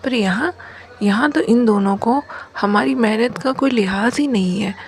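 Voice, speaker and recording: A woman's voice: on the high side, a little slow, darkish tone. Exasperated cartoon mother, voiced by a woman, faint noise. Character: exasperated, scolding village mother of a truant daughter. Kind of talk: Hindi moral story cartoon dubbing.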